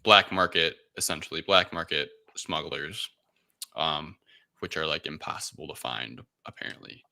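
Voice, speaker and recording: A man's voice: very deep voice, moderate pace, vocal fry, sharp tone, dry recording. A man hosting a casual film recap chat, retelling things in an informal, improvised way with quoted asides. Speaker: podcast host recapping a film.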